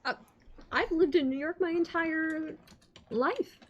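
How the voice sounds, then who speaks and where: A woman's voice: very high-pitched, takes it slowly, muffled recording. A woman playing a coaxing, soothing, somewhat patronizing vampire woman in a tabletop game. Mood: friendly, probing. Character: coaxing, motherly vampire woman, gently patronizing New Yorker.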